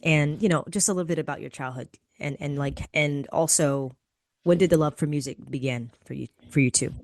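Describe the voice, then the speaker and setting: Deep-voiced woman interviewer, dry sound. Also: brisk, dry recording. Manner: warm, affirming, rambling questions. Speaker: interview host. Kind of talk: podcast interview.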